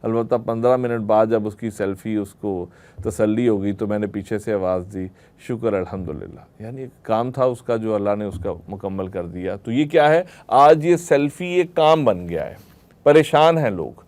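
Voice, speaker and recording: A man's voice: lowish voice, moderate pace, a little mellow. A man giving a religious lecture to a group, explaining through rhetorical questions and everyday examples. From Pakistan.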